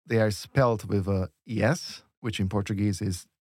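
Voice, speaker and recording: A man's voice: on the low side, steady tone, moderate pace, darkish tone, husky, dry recording, clean studio sound. A man teaching Portuguese in a lesson dialogue, explaining patiently step by step with examples.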